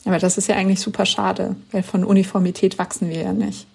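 A woman's voice: medium pitch, flat delivery, fast, creaky, a little mellow, husky. A woman author being interviewed on a podcast, explaining her views in an earnest, emphatic way. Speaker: author guest.

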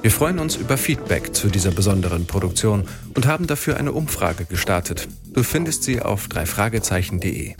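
A man's voice: fairly low pitch, very animated, a little fast, few pauses, music in the background. A man reading a scripted announcement and production credits as an announcer.

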